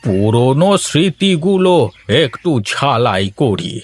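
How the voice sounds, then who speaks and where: Slow-talking, easygoing old village man, acted. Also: medium pitch, sing-song. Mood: homely, easygoing. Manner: homely, unhurried, agreeable. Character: easygoing elderly village husband and father. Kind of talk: Bengali cartoon voice acting.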